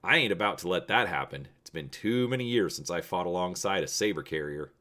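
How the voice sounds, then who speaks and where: Male narrator as a folksy old space prospector, blunt, stubborn, cheery, animated intonation, storybook reading. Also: low voice, brisk. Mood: blunt, defiant. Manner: folksy, blunt, colloquial speech.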